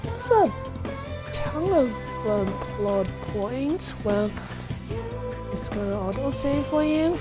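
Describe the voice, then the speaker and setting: A woman's voice: sits high, big pitch swings, slow pace, long pauses. A woman giving casual, giggly, rambling commentary on a game livestream, trailing off as she chats. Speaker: livestreamer playing a visual-novel game. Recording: music behind the voice. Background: game music.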